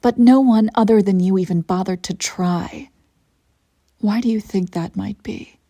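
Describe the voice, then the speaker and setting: Evil empress played by a woman, audio roleplay, husky voice, unhurried haughty delivery, seductive menace, theatrical. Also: mid-range voice, warm tone, no room sound. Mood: taunting, intimate. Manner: theatrical, haughty, deliberate phrasing. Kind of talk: scripted audio roleplay acting.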